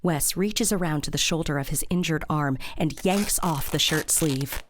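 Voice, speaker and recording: Female narrator for audio drama: deep-pitched scripted storytelling, faint effects noise behind. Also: moderate pace. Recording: background sound-effect noise.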